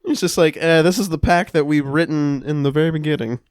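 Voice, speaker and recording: A young adult podcast co-host chatting casually and improvising with friends, informal and quick to swear. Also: mid-range voice, no echo, studio quality. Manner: profane, informal.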